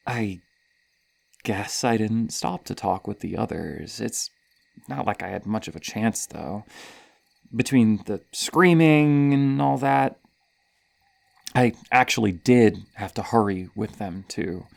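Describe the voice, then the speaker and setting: A man playing a gleeful, hesitant serial killer villain toying with prey in a horror roleplay, stammering and pausing dramatically between breathy sighs. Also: lowish voice, expressive, full character voice. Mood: taunting, menacing. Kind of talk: ASMR horror roleplay monologue.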